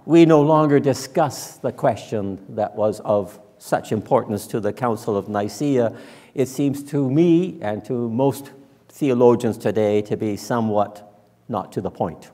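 Slow-paced older male preacher in a reverberant church. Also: mid-range voice, warm tone. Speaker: minister preaching. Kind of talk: conversational sermon. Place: large church sanctuary, indoors.

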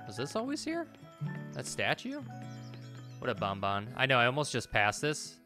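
A man's voice: medium pitch, wildly swooping pitch, moderate pace. A man in his thirties doing casual let's play commentary, muttering to himself and swearing offhandedly. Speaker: gaming video host. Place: small indoor room at home. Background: game music.

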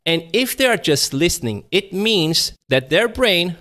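Animated webinar presenter, higher voice. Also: male voice, a little slow. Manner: shifts voice energy up and down to demonstrate, conversational. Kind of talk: live online webinar talk. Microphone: video call webcam.

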